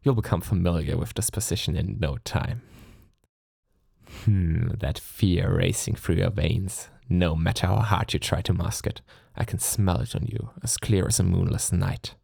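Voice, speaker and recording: A man's voice: normal speed, a little mellow, dry recording, clean studio sound, exaggerated voice. A man playing a centuries-old vampire lord, sadistic, possessive and silkily menacing, in a theatrical intimate ASMR roleplay. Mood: possessive, commanding. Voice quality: low, creaky. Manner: theatrical, taunting, courtly endearments, commanding. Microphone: close studio mic, dry.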